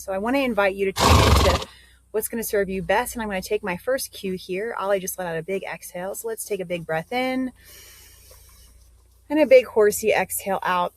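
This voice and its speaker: A woman leading a guided meditation, giving gentle instructions with pauses between phrases. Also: mid-range voice, poor audio.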